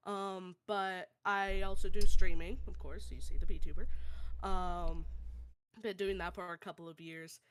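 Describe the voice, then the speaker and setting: A woman's voice: fairly low pitch, steady tone, a little slow, fairly bright tone, slight background noise. A woman streamer giving a casual, informal introduction of herself. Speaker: streamer and aspiring voice actor.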